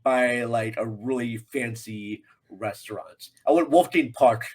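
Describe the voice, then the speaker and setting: A man's voice: medium pitch, a little slow, a little mellow. A man guesting on a film podcast, chatting casually in a rambling, joking manner. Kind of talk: casual chat about a film.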